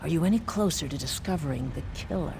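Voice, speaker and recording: An actress playing a formal, guarded town official in a fantasy video game, measured and stern. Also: female voice, sits low, lively intonation, vocal fry, a little mellow, a little hiss or hum. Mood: grave, formal.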